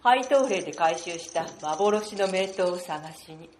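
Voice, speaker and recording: An animated, brusque young female agent played by a woman in an amateur radio play. She answers back curtly and defensively, her pitch moving a lot. There is clear noise behind her. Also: measured pace. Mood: brisk. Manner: curt, defensive, tsundere-like retorts. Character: brusque, flustered young woman time-police agent in disguise. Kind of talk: amateur radio drama acting.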